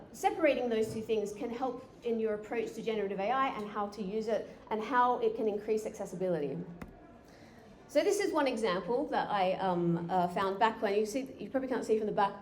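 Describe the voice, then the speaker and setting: A woman's voice: medium pitch, darkish tone. A woman giving a live conference talk, chatty with frequent fillers and restarts. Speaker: conference speaker. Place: conference room. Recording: echoey hall with faint noise.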